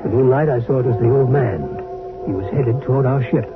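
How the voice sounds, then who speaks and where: A watchful spaceship doctor played by a man speaks at a middling pitch with a suspicious edge. The delivery is vintage radio drama. The recording is narrow and tinny, with music behind. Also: quick pace, close to an everyday voice. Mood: uneasy, hushed. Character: wary, suspicious male doctor on a spaceship crew. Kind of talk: 1950s radio drama acting. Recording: tinny old radio broadcast.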